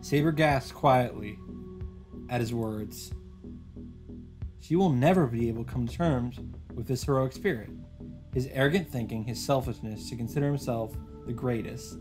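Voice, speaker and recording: Male host, medium pitch, dramatic storytelling read of a visual novel, faint music behind. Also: moderate pace. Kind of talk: dramatic reading of translated narration with commentary.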